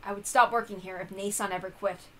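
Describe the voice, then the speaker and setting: A woman voicing a shy, earnest, sullen teenage servant boy in a quiet, hesitant manner for a story reading. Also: mid-range voice, fairly even intonation, quick pace, voice pushed down, close to an everyday voice. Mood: somber, confessional.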